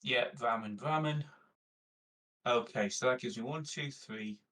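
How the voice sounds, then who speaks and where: A man's voice: medium pitch, measured pace, a bit bright. A man chatting casually as a player at a tabletop game, jokey and sweary, recorded at a distance from the mic.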